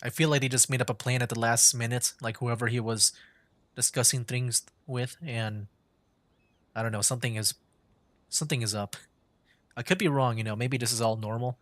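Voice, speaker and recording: A man's voice: medium pitch, steady tone, moderate pace, long pauses, fairly bright tone, no echo. A man giving casual livestream game commentary, musing aloud in an informal way. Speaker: gaming streamer.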